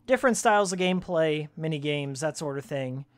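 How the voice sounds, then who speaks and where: A live game streamer with a medium-pitched, raspy voice that sounds worn from screaming. The talk is casual and rambling, full of fan trivia, slang and blunt, joking opinions. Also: lively intonation, brisk.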